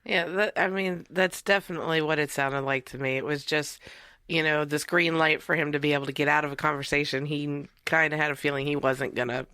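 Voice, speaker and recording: A woman co-hosting a casual podcast, sounding nasal from blocked sinuses, sarcastic and quick to agree. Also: low-pitched, fairly even intonation, pushed and bright, clear, resonant tone, no room sound, studio quality. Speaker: podcast co-host. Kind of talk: casual chat.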